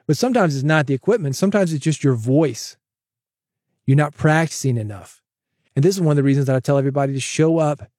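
A man hosting a solo podcast, coaching listeners in an energetic, direct way on a broadcast mic. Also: medium pitch, no echo, clean studio sound. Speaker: podcast host. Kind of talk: solo podcast advice with sponsor read. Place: home studio, processed dry voice. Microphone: studio broadcast dynamic mic.

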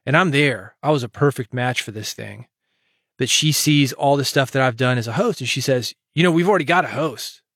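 A man talks as a solo podcast host in a conversational, explanatory style. His pitch sits in the middle of the male range, and the sound is dry with no room around it. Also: a little fast, fairly bright tone, dry recording. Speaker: podcast host and audio producer.

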